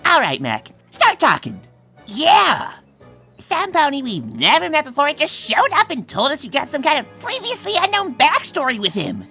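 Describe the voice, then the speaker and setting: Brash, loyal cartoon pony heroine played by a woman; bright, forceful voice with very animated pitch, music underneath. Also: normal speed, full character voice. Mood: pushy, encouraging. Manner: brash, emphatic. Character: brash, loyal, fiery female pony racer friend. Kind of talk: comic dub character acting.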